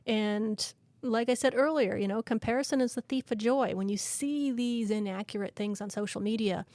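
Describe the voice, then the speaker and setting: A woman from Canada, co-hosting a podcast and chatting in an animated, storytelling way with frequent laughter. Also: a little high, moderate pace, dry recording, clean studio sound. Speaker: podcast co-host. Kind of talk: casual chat.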